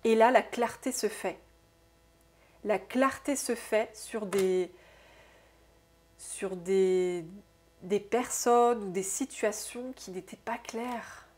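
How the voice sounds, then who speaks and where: Slow-talking stuffy-nosed woman. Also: medium pitch, husky. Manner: soft, unhurried, musing aloud with frequent "hein". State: stuffy nose from a cold. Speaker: soul therapist and oracle card reader. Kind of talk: intuitive oracle card reading to viewers.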